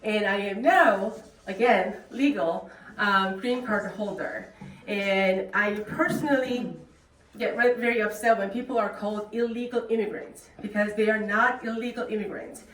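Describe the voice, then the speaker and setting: A woman's voice: medium pitch, normal speed, a bit bright. Korean-accented woman speaking in an echoey room. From South Korea. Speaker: guest speaker. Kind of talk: speech at a club meeting. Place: meeting room. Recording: echoey room, distant mic, faint noise.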